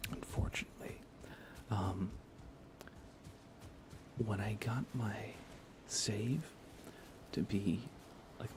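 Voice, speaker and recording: A man speaking in a soft, breathy whisper, giving unhurried, gently playful ASMR commentary while he plays a video game for viewers. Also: lowish voice, noisy background. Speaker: livestreamer playing a video game.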